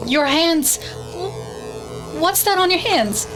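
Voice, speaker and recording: A frightened young woman played by an actress in a radio drama of alien invasion. Her voice is high and swings widely, hushed and halting with fear. Music plays behind her. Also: animated, exaggerated voice. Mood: terrified.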